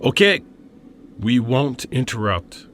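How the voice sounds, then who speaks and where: An actor playing a nervous, excitable police sergeant in an old-time radio crime drama. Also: male voice, medium pitch, slow, slight background noise. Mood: uneasy.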